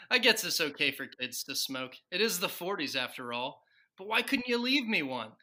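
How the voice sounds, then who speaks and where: A woman's voice: on the low side, big pitch swings, normal speed, bright, forceful tone, exaggerated voice. A woman playing an exasperated, put-upon father in a parody of a 1940s radio sitcom, whiny, grumbling and blustering. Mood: resigned, sour.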